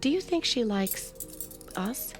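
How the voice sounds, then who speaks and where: An actress playing a middle-aged, grieving and protective foster mother, gentle and emotional, in a radio drama. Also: on the high side, big pitch swings, takes it slowly, music in the background. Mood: gentle, concerned. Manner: gentle, emotional, breaks into hushed tones.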